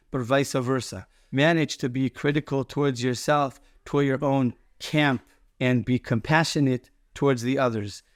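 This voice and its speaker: A man's voice: mid-range voice, no room sound, clean studio sound. A man speaking as a rabbi, giving a short explanatory Torah teaching with emphatic repetition.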